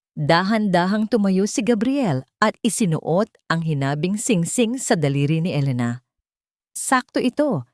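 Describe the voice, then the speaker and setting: A woman with a Filipino accent narrating a dramatic scripted story in a measured, sentimental way. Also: on the low side, darkish tone, no room sound, clean studio sound.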